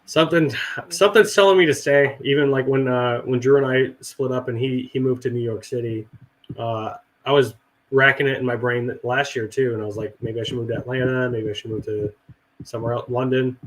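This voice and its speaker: A middle-aged man with a hoarse, raspy voice from a cold, rambling and swearing casually in a relaxed chat. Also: mid-range voice, brisk, poor audio. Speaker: veteran guest and actor.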